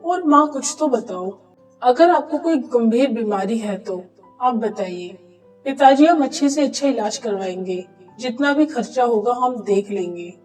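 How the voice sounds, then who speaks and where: A woman's voice: on the high side, expressive, a little slow, darkish tone, far from the mic, soft background music, low-quality recording. A woman voicing an earnest, embarrassed family man in a cartoon story. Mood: worried.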